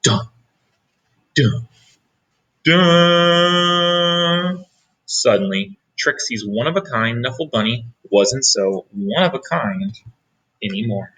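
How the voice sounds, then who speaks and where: A man reading a picture book aloud as a teacher, pausing for asides to young listeners. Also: medium pitch, lively intonation, pushed and bright. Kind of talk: read-aloud storytelling.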